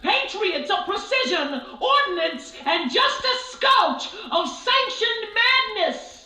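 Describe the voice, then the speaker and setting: A zealous recruitment jingle singer, acted by a woman, very high and sweeping in pitch. She is theatrical and commanding, slow in delivery, in an echoing radio spot over music. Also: sing-song, heavily acted. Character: zealous female singer of military recruitment propaganda. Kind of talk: sung recruitment ad.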